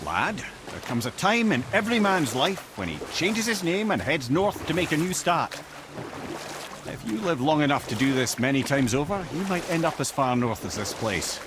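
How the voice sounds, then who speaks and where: A man's voice: a little high, very animated, normal speed, background noise. An actor playing a witty, wry old Scottish counselor in a video game, eloquent and theatrical in his storytelling. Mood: storytelling, confiding. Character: witty, wry old counselor, a well-travelled talking head. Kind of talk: video game character dialogue.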